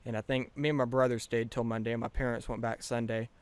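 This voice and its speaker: A man's voice: medium pitch, little pitch movement, fast talker, smooth. A teenage boy with a light Southern US accent, chatting casually as a podcast guest, rambling and laughing often. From South Carolina. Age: teens.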